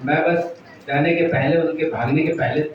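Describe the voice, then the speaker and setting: A man's voice: a little high, normal speed. Guy doing a formal event speech, lots of hesitations, super echoey, noisy background. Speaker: speaker at a workshop opening. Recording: very echoey hall, distant, muffled, noisy.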